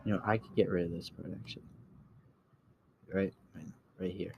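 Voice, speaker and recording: Low-voiced man talking through a tutorial. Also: fairly even intonation, gravelly, a little mellow, slight background noise. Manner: halting, thinking aloud while working. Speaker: tutorial creator. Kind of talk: casual screen-recorded software tutorial.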